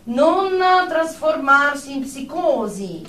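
A woman's voice: high voice, big pitch swings, slow pace, a crowd in the background, heavily acted. An actress playing a cold, formal psychiatrist in a radio drama, clinical and peremptory as she questions and assesses a patient. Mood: formal, probing. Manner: clinical, peremptory, muttering diagnoses to herself. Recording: distant, roomy, faint noise.

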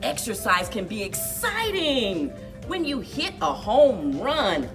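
A middle-aged woman speaking to young children as a story time host, with exaggerated, drawn-out storyteller delivery. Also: a little high, very animated, fairly bright tone, recorded from a distance, soft background music. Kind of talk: expressive picture-book read-aloud and leading action activity for children. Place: indoors, children's library room.

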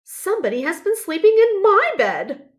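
Woman voicing mama bear, high pitch, prim, surprised, sing-song, storybook character. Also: big pitch swings, normal speed. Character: middle-sized mother bear, prim and surprised.

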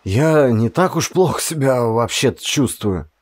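A man's voice: mid-range voice, animated, measured pace, no room sound, pitched above the performer's own voice. A man voicing a sick young man in an audiobook, stubbornly stoic and needling his friend.

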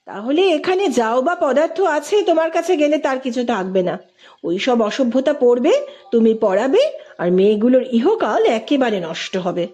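A woman's voice: high voice, very animated, normal speed, warm tone. A woman voicing a stern, easily irritated female hostel superintendent in a story, curt and scolding. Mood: scornful.

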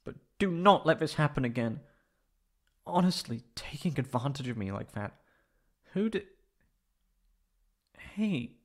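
A man playing a prickly young catboy in an ASMR roleplay, haughty but secretly soft-hearted and stammering when flustered. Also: on the high side, big pitch swings, a little slow, long pauses, exaggerated voice. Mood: flustered, indignant.

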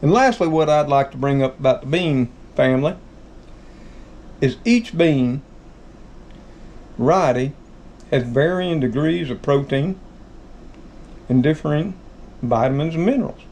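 A middle-aged man with a Southern American drawl and a gravelly, fry-edged voice that sits a little high for a man. He talks in a folksy, homespun way, calling his viewers folks. A faint hum of room noise hangs behind him in the kitchen. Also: unhurried, pauses often, darkish tone. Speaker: channel host. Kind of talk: casual how-to talk. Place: home kitchen.

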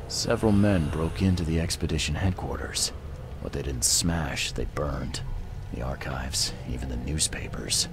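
An actor playing a grim, world-weary detective in a video game, brooding and terse. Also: male voice, very deep voice, moderate pace, background noise.

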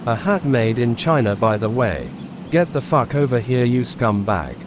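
A man's voice: mid-range voice, big pitch swings, moderate pace. A man doing a mock-aggressive, profane comedic skit in a bullying manner. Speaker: video channel host. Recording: clear street and vehicle noise.

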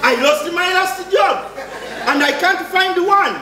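A man's voice: extremely high pitch, slow, crowd noise. A middle-aged man with a Ugandan accent playing a jealous, jobless, indignant husband in a stage comedy. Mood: agitated, pleading. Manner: repetitive, emphatic, indignant. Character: jealous unemployed husband, aggrieved and insistent. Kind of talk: live stage comedy acting. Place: theatre stage. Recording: distant echoey stage recording, audience behind.

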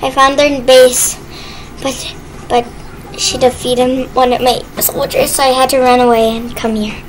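High-pitched, excitable woman gaming. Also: measured pace. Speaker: gaming video player and role-play partner. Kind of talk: casual gaming chat and improvised role-play. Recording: noisy game-play voice recording.

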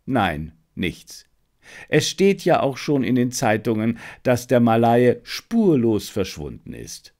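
Man reading as a menagerie owner, businesslike, matter-of-fact, medium pitch, audiobook dialogue. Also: sing-song, takes it slowly, a little mellow. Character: businesslike male menagerie owner reporting news.

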